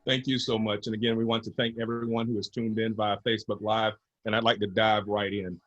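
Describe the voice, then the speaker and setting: A man moderates a panel over a video call with a lowish voice. He is polite and welcoming, bringing each guest in by turn. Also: little pitch movement, a little fast, a bit bright, muffled recording.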